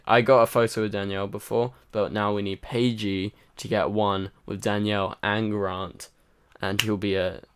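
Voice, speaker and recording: A man's voice: on the low side, clean tone. A tired man hosting a casual livestream, rambling and chatty with lots of fillers while answering viewer comments. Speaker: livestream host. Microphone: home microphone.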